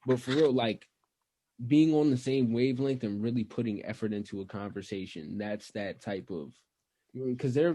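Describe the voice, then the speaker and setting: A man's voice: mid-range voice, dark tone, no room sound. A young man hosting a casual podcast, asking questions and talking at length in a loose, drawling way. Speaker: podcast host.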